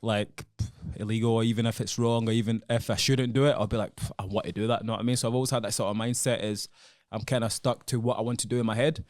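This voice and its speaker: A man's voice: lowish voice, fairly even intonation, fast, a little mellow, dry recording, studio quality. A young man with a Glaswegian accent, a podcast guest talking in a frank, laid-back, casual way. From Scotland, born in Congo. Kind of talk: casual chat. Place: podcast studio.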